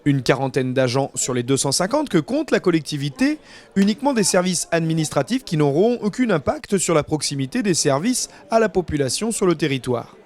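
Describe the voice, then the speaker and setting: Male reporter for scripted news voice-over, slightly high register, strongly varied melody. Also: big pitch swings, fairly quick, darkish tone.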